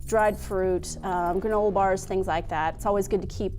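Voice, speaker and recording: A woman's voice: mid-range voice, steady tone, normal speed, darkish tone, slight background noise. A woman giving practical advice in an informal way, listing items with filler words. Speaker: interviewee giving safety tips.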